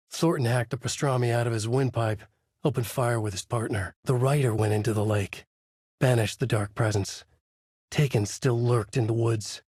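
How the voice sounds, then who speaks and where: A man playing a brooding, haunted writer in a video game, narrating a dark noir thriller in grave, clipped, dramatic phrases with a husky voice. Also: medium pitch, no room sound, clean studio sound. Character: brooding, haunted male writer trapped in a nightmare.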